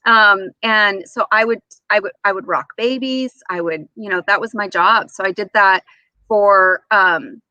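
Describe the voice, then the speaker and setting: An animated woman in her forties shares stories as a guest in a casual interview. Her voice is bright and lively in delivery, and she slips into quoted dialogue as she goes. The sound is dry, with very little room on it. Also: medium pitch, measured pace, dry recording, poor audio. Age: 40s. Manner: animated, acts out remembered dialogue. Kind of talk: casual anecdotal storytelling.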